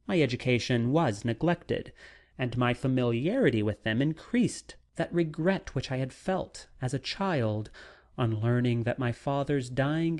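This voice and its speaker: A man narrating a classic novel as a dramatic audiobook, in a measured, deliberate manner with frequent pauses. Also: mid-range voice, a little mellow.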